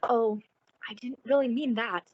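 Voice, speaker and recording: A woman's voice: fairly high pitch, measured pace, breathy edge, no room sound, muffled recording. A woman acting a naive, nervous, placating young-sounding character in an old-time radio suspense play, with exclamatory delivery. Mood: wistful, chatty.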